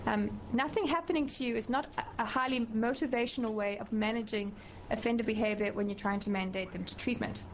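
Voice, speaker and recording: A middle-aged professor with a South African accent gives formal testimony before a committee. Her voice sits slightly high, and she speaks in a measured way, pausing on fillers and restarting phrases. A faint hiss runs underneath. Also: low-quality recording.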